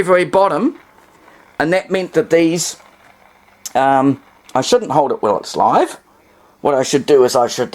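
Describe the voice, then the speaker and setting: Higher-pitched New Zealand man, rambling casually. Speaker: hobbyist presenter. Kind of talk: casual workbench demonstration.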